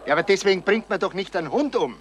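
An actor playing a dogged, sardonic police detective in a radio crime drama, blunt, sceptical and insistent. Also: male voice, high voice, expressive, very fast. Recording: noisy 1970s radio drama recording.

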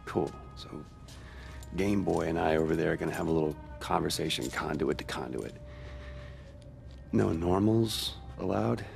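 An actor playing a brash, wisecracking young American rebel with a sarcastic, casual way of talking in a game cutscene. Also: male voice, low-pitched, moderate pace, gravelly, long pauses, a little mellow, faint music behind. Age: young adult.

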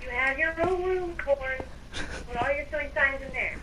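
High-pitched woman in game voice chat. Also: normal speed, a crowd in the background, poor audio. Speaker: player in a group Minecraft roleplay. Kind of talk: casual group voice chat.

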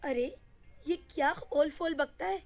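A woman's voice: sits high, unhurried, gravelly, a little mellow, faint music behind. A woman playing a distraught old mother in a radio play, scolding, wailing and pleading melodramatically. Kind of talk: theatrical radio play acting.

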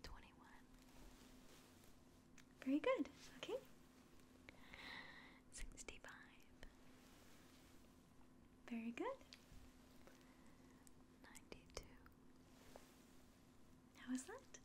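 Slow, whispering young woman doing ASMR. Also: high-pitched, very animated. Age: 20s. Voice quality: breathy whisper and very soft speech. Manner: gentle, hesitant, polite clinical instructions. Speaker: ASMR roleplayer playing an alien doctor. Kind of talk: whispered ASMR medical exam roleplay. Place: small indoor room at home.

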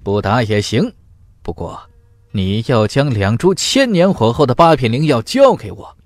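A man playing a menacing, greedy, suspicious old male cultivator in a fantasy audiobook, gruff and sneering. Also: mid-range voice, sing-song, moderate pace, no room sound, studio quality, lightly acted. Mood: smug demanding.

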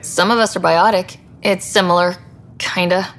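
Earnest, lower-voiced young explorer. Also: female voice, fairly even intonation, moderate pace, a bit bright, a little hiss or hum. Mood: casual, curious. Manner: earnest, casual, direct. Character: young, earnest, easygoing human woman explorer hero. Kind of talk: video game dialogue.

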